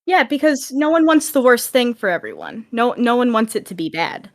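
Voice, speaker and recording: A woman's voice: a little high, sing-song, moderate pace. Emphatic, encouraging woman on a podcast. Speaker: podcast guest. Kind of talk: casual podcast discussion.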